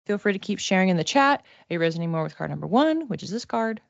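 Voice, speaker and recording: A woman hosting an oracle card reading in a casual, halting, conversational way, gently reassuring as she improvises. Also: medium pitch, lively intonation, creaky, dry recording. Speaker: host giving psychic oracle card readings. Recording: dull, muffled lo-fi sound.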